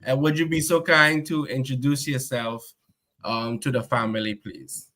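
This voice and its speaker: A man's voice: medium pitch, fairly even intonation, moderate pace, pushed and bright. Man, panel host, upbeat, polite, video call. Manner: upbeat and courteous, handles guest dropouts. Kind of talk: online panel hosting.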